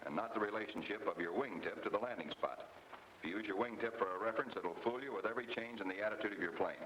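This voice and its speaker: A man giving measured, scripted instructional narration, explaining step by step like a flight instructor. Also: lowish voice, fairly bright tone. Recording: noisy vintage training film soundtrack, engine drone.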